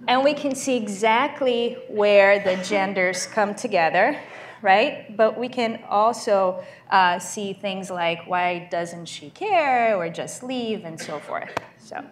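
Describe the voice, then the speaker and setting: A woman's voice: medium pitch, slow pace, slight background noise. A woman with a Brazilian accent giving a conversational, explanatory keynote demo in a large lecture hall. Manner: conversational, explanatory, frequent ums. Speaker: conference keynote speaker. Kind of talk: live demo presentation.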